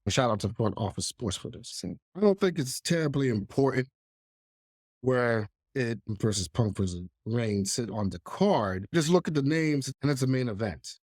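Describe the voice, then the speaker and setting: A man's voice: mid-range voice, no echo, clean studio sound. A man with a cold and a nasal, stuffy voice, hosting a solo wrestling podcast with emphatic opinions. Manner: opinionated, emphatic, clears throat. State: congested, under the weather.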